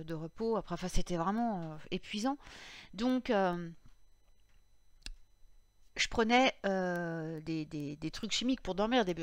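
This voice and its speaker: A slightly hoarse woman in her thirties hosting a casual witchcraft podcast, rambling with many fillers and restarts. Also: fairly low pitch, no room sound. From France.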